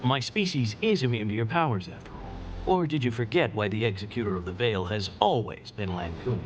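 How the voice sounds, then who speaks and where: A man's voice: fairly low pitch, a little fast, muffled recording, soft background music, close to an everyday voice. A man playing a roguish, irreverent alien senator in a video game, talking casually with teasing, lewd confidence.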